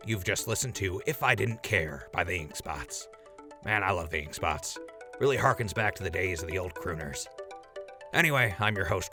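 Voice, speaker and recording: A man playing an upbeat, chummy wasteland radio host with animated, informal patter in an audio drama. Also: deep voice, exaggerated voice.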